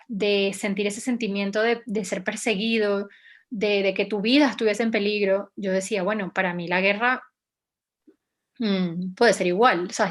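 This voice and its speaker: Young woman from Venezuela, journalist guest, mid-pitch voice, hesitant and thoughtful, restarts often. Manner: hesitant, many restarts and fillers, reflective. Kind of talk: interview answers.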